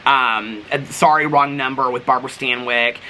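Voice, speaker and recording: Bright, forceful-voiced man chatting to viewers. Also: medium pitch, normal speed, slight background noise, poor audio. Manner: animated, rambling, gushing. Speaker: vlogger. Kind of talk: casual, chatty vlog talk to viewers.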